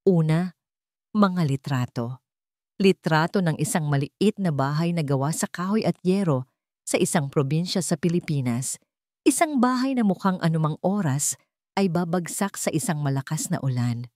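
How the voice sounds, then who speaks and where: A woman narrator reading a scripted romance drama in a measured, dramatic storytelling manner. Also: sits low, animated, dark tone, dry recording, studio quality.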